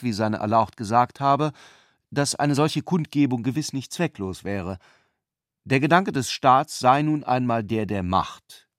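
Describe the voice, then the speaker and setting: A man narrates a literary radio drama in a middle-range voice. His delivery is formal and measured, recorded dry with hardly any room around it. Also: mid-range voice, no echo. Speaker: narrator of a radio play adaptation.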